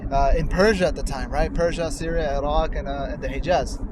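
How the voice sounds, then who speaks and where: A man's voice: on the high side, steady tone, brisk. A man co-hosting a casual chat in a car, reflective and asking questions. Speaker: talk show co-host. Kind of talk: casual conversational discussion. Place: inside a car on the road. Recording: clear car and road noise. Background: vehicle and outside traffic noise.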